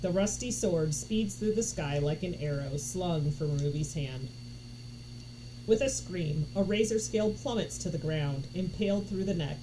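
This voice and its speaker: A woman reading a story aloud in an expressive narration style. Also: lowish voice, measured pace. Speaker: audiobook reader. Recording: noticeable background noise.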